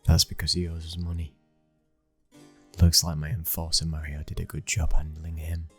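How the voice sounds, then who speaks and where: A British man playing a brooding, dominant young mafia boss's son in an intimate ASMR roleplay, speaking with slow, commanding control. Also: a bass voice, completely flat delivery, breathy edge, soft background music, heavily acted. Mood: cool, matter-of-fact.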